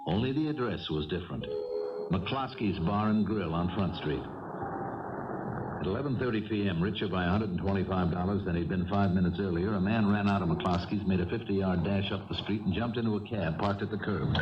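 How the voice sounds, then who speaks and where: An American man playing a calm, wry, methodical police lieutenant who also narrates a 1970s radio crime drama in a measured, authoritative way. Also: low-pitched, noisy background, lightly acted. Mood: measured narration. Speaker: narrator and lead character. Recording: dull old radio broadcast, slightly distant.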